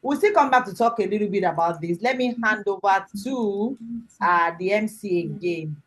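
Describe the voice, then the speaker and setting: A woman informally hosting an online event in a halting, encouraging way. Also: medium pitch, animated. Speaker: host, course lead introducing student work. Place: online call.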